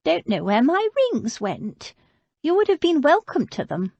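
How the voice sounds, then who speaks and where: A woman voicing a frail, proud, sharp-tongued elderly aristocratic lady with a haughty, querulous manner. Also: sits high, very animated, a little slow, creaky, no room sound, lo-fi sound, voice pushed up, exaggerated voice. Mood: proud, forlorn.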